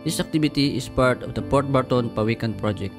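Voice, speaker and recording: A Filipino man whose first language is Tagalog, a marine biologist giving a calm, measured documentary voice-over. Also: medium pitch. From Philippines. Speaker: marine biologist and community coordinator. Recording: voice-over with music underneath.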